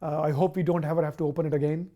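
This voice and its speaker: A man speaking haltingly as a doctor in an unscripted news interview. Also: fairly high pitch, steady tone, mellow.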